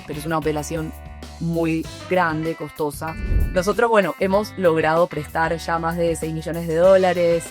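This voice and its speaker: A woman's voice: deep voice, steady tone, normal speed, soft background music. A woman from Argentina with an Argentine Spanish accent, a startup founder answering interview questions in a thoughtful, explanatory way, often restarting her phrases.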